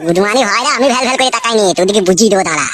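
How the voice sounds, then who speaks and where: A woman's voice: mid-range voice, lively intonation, racing, fairly bright tone, slight background noise, close to an everyday voice. A woman voicing a stubborn, sulky young village man in a comic cartoon, grumbling and defiant, with a rural West Bengal accent. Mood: muttering threats. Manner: grumbling, defiant, crude back-talk.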